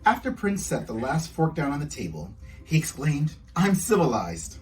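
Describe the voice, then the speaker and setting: A man's voice: a little high, very animated, moderate pace, darkish tone, distant mic, faint music behind. A man, an author, reading his children's storybook aloud to young listeners.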